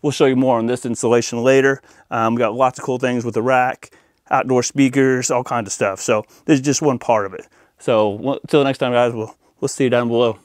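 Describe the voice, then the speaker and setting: A man's voice: mid-range voice, steady tone, brisk, no echo, studio quality. An American man hosting a hands-on installation demo in an informal, folksy, chatty way. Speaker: audio-video installer and channel host. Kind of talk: casual hands-on install demonstration.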